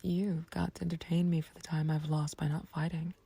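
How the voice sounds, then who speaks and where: A woman playing a smug, taunting, playfully flirtatious villain in an ASMR roleplay, with a husky, breathy low voice and a teasing, unhurried, condescending manner. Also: flat delivery, warm tone, dry recording, full character voice. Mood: smug, threatening. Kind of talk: ASMR roleplay audio drama.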